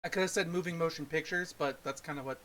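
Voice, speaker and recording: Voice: mid-range voice, fast, a bit bright. A podcast host chatting casually about films on a livestream, in a teasing, bossy way.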